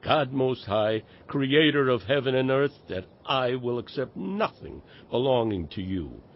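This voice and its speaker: A man's voice: mid-range voice, a little hiss or hum. A man playing an earnest, devout biblical patriarch in a dramatized audio Bible, with a declamatory delivery. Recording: dull, band-limited stream. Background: faint music.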